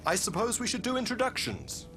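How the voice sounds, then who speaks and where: A man's voice: high voice, huge pitch swings, brisk, heavy background noise. An actor playing a dignified, fiercely protective warlock father in a game, formal and dramatic. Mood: suave calm. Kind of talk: video game cutscene acting. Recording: music behind the voice.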